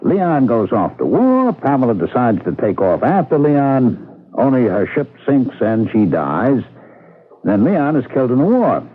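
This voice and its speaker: Animated, exasperated mailman on tinny old radio. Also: medium pitch, unhurried. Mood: wry storytelling. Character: earnest, exasperated small-town male mail carrier and husband. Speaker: radio drama actor. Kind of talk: vintage radio mystery acting.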